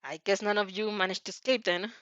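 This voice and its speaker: A woman's voice: medium pitch, fairly even intonation, a little fast, a bit bright, no echo, close to an everyday voice. A woman voicing a stern, scolding male teacher hero in a story reading, curt in manner.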